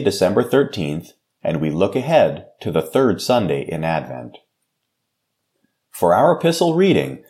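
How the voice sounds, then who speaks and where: American man, low voice, widely varied intonation, pastor, solemn formal devotional delivery. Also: darkish tone. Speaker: pastor and podcast host. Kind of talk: devotional scripture reading, short sermon and prayer.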